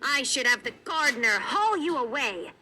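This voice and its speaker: A woman voicing a cruel, bossy housekeeper in a children's storybook, shrill and scolding. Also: big pitch swings, moderate pace, pushed and bright, slight background noise, exaggerated voice. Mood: angry, scolding. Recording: music behind the voice.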